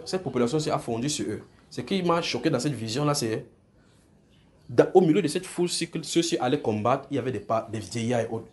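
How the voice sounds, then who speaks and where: A man's voice: fairly high pitch, darkish tone, a little hiss or hum. A man with a Francophone African accent preaching prophetic visions off the cuff, repeating phrases and checking that his listeners follow. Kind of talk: extempore prophetic sermon to a congregation.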